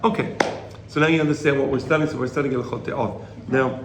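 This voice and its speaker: A slightly hoarse man giving an informal religious lecture to a study group, explaining and checking in with rhetorical questions. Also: medium pitch, fairly even intonation, quick pace. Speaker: Torah teacher. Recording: roomy echo, faint background noise.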